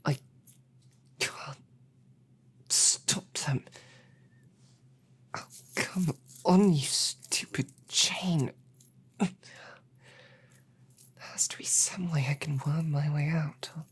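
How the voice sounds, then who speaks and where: A woman's voice: low voice, drawn-out words, pauses often, husky, in a whisper, exaggerated voice. A woman playing a snarky, defiant captive knight loyal to her princess, speaking in formal, chivalrous phrases with dry sarcasm in an audio drama. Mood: frustrated, despairing. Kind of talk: scripted audio roleplay drama.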